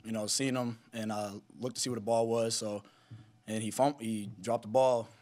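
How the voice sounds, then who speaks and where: A man's voice: lowish voice, level tone, moderate pace, a little mellow. A young man, a college special teams player, answering press questions plainly and modestly after a game. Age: young adult. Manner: plain, modest, repeats himself. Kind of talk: postgame press conference answers. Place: press room in front of a sponsor backdrop. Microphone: podium mic.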